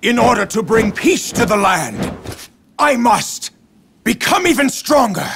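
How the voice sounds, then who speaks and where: An actor playing an earnest, determined young warrior in a war video game, speaking solemnly and dramatically. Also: male voice, very high voice, very animated, unhurried, vocal fry, background noise, exaggerated voice. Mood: solemn resolve. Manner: solemn, resolute.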